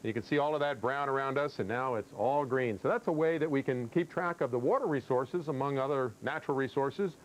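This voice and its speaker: A man's voice: mid-range voice, fairly quick, hardly any pauses, slight background noise, rough recording. A man with an American accent giving an ad-libbed, conversational TV weather report, explaining satellite maps with emphasis. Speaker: TV weather forecaster.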